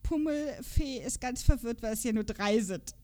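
Female podcast host, high voice, chatty and jokey, casual delivery with some read-out notes. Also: animated, moderate pace, dark tone.

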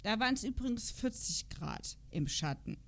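Female podcast co-host, higher-pitched voice, informal and playful, everyday conversational delivery. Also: sing-song, moderate pace. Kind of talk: casual chat.

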